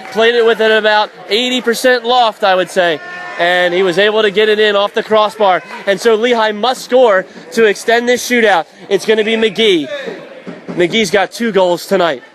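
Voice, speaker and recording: A man calling live ice hockey play-by-play for radio in an excitable, vivid, dramatic manner. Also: sits high, moderate pace. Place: indoor ice rink broadcast position. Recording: loud crowd and rink noise behind. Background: hockey crowd and rink ambience.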